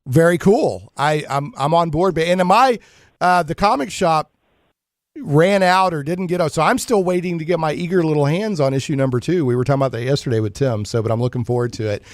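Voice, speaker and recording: A man hosting a live radio show, chatting informally and haltingly, often repeating words as he goes. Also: on the high side, dry recording, clean studio sound. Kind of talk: casual live radio chat.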